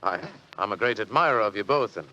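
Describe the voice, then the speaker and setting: Courteous, bright, deepish police surgeon. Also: male voice, lively intonation, fast talker, highs cut off. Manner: formal, polite. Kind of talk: 1940s radio drama acting.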